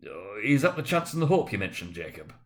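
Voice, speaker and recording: A man's voice: lowish voice, very animated, brisk. A man voicing a frightened old miser in a storybook reading, with a hesitant, pleading delivery. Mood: faltering, reluctant.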